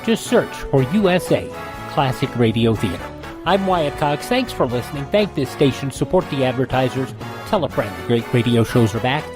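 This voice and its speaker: A man's voice: medium pitch, moderate pace, music in the background. Man hosting a classic radio show. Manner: relaxed and conversational, with light fillers. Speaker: host. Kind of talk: radio show host announcements.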